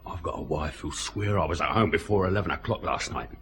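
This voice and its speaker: An actor playing a defiant crook in a radio detective drama, sardonic and insolent, with faint background noise. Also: male voice, very deep voice, fast talker, a bit bright. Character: cocky, sardonic, defiant jailed truck-driver crook.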